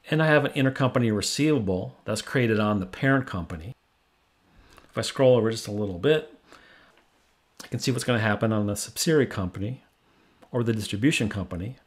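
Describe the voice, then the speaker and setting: An American man presenting a software demo, explaining each step plainly in tutorial narration. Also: medium pitch, husky.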